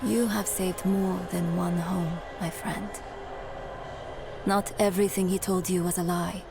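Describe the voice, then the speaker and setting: A woman playing a weary, resolute young scholar in a dark fantasy video game, speaking in a measured, earnest and sometimes hushed way. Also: on the low side, fairly even intonation, background noise. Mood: gentle, somber. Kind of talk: dramatic video game acting. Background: cinematic background music.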